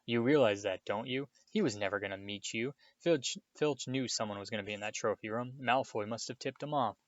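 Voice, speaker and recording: A man voicing a bossy, sharp-tongued young schoolgirl in a storybook, scolding and snappish. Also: medium pitch, a little fast, dry recording, dull audio, natural voice.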